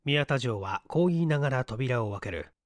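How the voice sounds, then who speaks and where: A man reading a mystery story as scripted literary narration, in a measured storytelling manner. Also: mid-range voice, animated, dry recording. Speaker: audiobook reader, stage actor.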